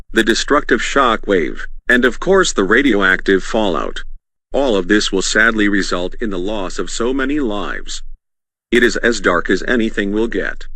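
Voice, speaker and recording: A man's voice: lowish voice, bright, forceful tone. A man acting as a solemn, remorseful government leader giving a formal, apologetic scripted address to the nation. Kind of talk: scripted presidential-style address.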